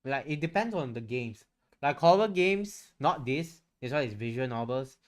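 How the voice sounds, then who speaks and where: A man's voice: mid-range voice, lively intonation, moderate pace. A man chatting casually on a livestream, rambling and candid, swearing freely as he goes. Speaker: streamer and VTuber.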